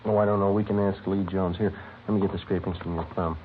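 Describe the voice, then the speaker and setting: An actor playing a terse, methodical American police sergeant, matter-of-fact and procedural, in 1950s radio drama style. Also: male voice, low-pitched, flat delivery, quick pace, narrow, tinny audio, close to an everyday voice. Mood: businesslike. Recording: scratchy, band-limited vintage radio recording.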